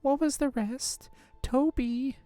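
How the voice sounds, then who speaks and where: Woman voicing an earnest, expressive young girl friend. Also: sits high, slow pace, no room sound, faint music behind. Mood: teasing, prodding. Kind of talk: live visual novel voice acting.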